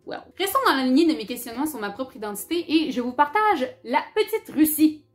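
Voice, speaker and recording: A woman's voice: sits high, animated, brisk, faint music behind. A young woman with a Quebec French accent, from Abitibi, talking candidly and casually about books in her vlog. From Abitibi-Témiscamingue, Quebec. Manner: chatty and candid, with fillers, English words and swearing. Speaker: book YouTuber. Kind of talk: casual book-review vlog.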